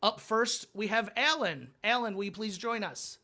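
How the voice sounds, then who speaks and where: A man's voice: high-pitched, normal speed, bright, forceful tone. An American man presenting as the host of a game show, introducing contestants. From Michigan, United States. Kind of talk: scripted show hosting, introductions.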